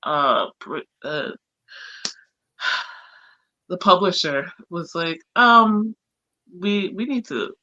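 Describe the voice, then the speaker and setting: Bright-voiced woman, low and dry. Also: animated, very slow, pauses often, no room sound, lo-fi sound. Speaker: book club panelist. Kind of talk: casual group book discussion.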